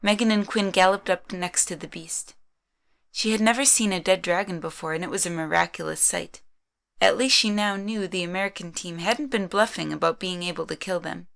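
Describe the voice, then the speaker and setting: Creaky, lowish-voiced woman narrator. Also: brisk, fairly bright tone. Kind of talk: scripted story narration.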